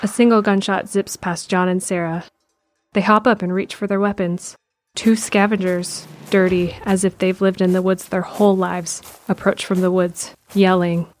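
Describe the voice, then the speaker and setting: A woman narrating an audio drama in a measured, descriptive way. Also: medium pitch, little pitch movement. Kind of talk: scripted narration.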